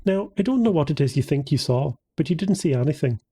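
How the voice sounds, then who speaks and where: A man voicing a tall, scornful, pompous stranger in an audiobook, with a husky tone. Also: fairly high pitch, animated, fast, dark tone. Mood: cold menace. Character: tall, sneering, hostile, theatrical man in a bar.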